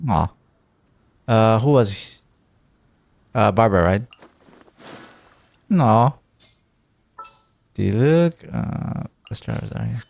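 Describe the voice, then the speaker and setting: Animated male gaming streamer, dry sound. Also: lowish voice, very slow, gravelly, long pauses, dry recording. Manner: thinks aloud, reads game text aloud. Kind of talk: casual gameplay commentary.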